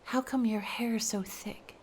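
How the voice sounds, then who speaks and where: A heartsick young man begs and wonders in an urgent, breathy hush, as a woman reading puts him on. His questions come soft and yearning. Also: fairly high pitch, slow, a little hiss or hum. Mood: wondering whisper. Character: lovesick young man pleading desperately.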